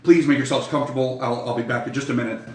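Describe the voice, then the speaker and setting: A man playing a polite, wary criminal in a tabletop game, speaking formally and haltingly as he talks business. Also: mid-range voice, fairly even intonation, a little mellow, close to an everyday voice. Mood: flustered, polite. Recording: echoey room, distant mic, faint noise.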